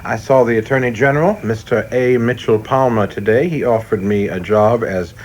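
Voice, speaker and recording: A man's voice: medium pitch, normal speed, a little mellow, slight background noise, close to an everyday voice. Man playing stiff, prim, ambitious young man. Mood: formal, fussy. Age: young adult. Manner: stiff, formal, precise, deferential. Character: prim, earnest, ambitious young government man, devoted son. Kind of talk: radio play acting.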